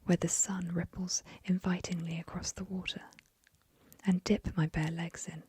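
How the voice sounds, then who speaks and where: A woman whispering softly into a close microphone, reading a short story aloud as ASMR. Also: on the low side, little pitch movement, normal speed, slightly rough, no room sound.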